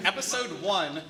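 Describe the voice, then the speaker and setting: A man chatting casually on a convention panel, blunt and swearing, laughing often. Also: sits high. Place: convention panel room before an audience. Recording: distant mic with crowd noise.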